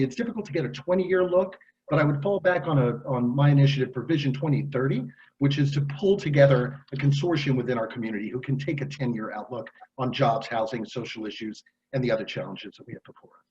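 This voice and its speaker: A man answering as a mayoral candidate at a town forum over a video call, in a measured, policy-minded way. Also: medium pitch, darkish tone. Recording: dull lo-fi video call audio.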